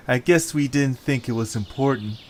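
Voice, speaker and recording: A man playing an eager, hopeful young adventurer in an amateur audio drama, with excitable, expressive delivery. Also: mid-range voice, a little slow, slight background noise, natural voice. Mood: earnest. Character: eager, hopeful young male adventurer leading his friends.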